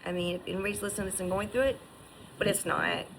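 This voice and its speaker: A woman's voice: medium pitch, very fast, gravelly, a little hiss or hum. A woman in her fifties giving an earnest, encouraging personal testimony, often restarting and asking "right?". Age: 50s. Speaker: survivor sharing her story.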